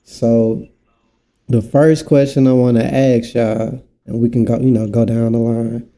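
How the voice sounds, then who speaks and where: A man's voice: mid-range voice, level tone, measured pace, warm tone. A man hosting a casual podcast, laid-back and encouraging. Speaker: podcast host.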